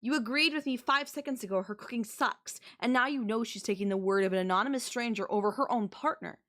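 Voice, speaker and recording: A woman's voice: fairly high pitch, fast talker, a bit bright, lightly acted. Woman acting an obsessive, manipulative young babysitter. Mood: bitter, insistent. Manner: pleading, defensive, possessive, conversational. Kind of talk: anime-style audio roleplay acting, intimate one-to-one.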